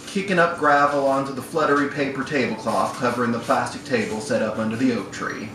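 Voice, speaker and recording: A man giving a wry live reading of a literary short story, performed as a storyteller. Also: medium pitch. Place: bar room at a live event. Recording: echoey, noisy live room, distant mic.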